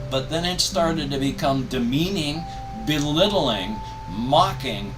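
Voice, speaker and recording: An older man talking in a casual personal vlog, rambling and stressing his words emphatically. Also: medium pitch. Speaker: video creator. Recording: distant mic, music behind. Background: music.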